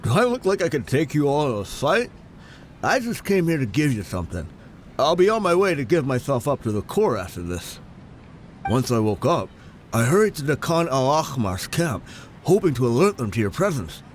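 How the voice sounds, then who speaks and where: A man's voice: medium pitch, very animated, moderate pace, slight background noise, lightly acted. An actor voicing a weary, honest male mercenary in a video game, easygoing and resigned.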